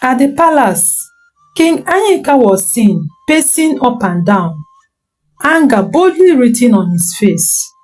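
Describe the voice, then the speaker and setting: Woman, storyteller, bedtime folktale narration, unhurried, faint music behind. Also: sits high, low-quality recording. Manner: slow, expressive bedtime-story telling.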